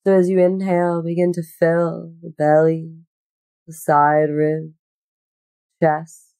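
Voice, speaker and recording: A woman guiding a meditation in a gentle, unhurried, soothing manner with long pauses. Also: sits low, monotone, dry recording. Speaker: yoga nidra meditation guide. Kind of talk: guided meditation.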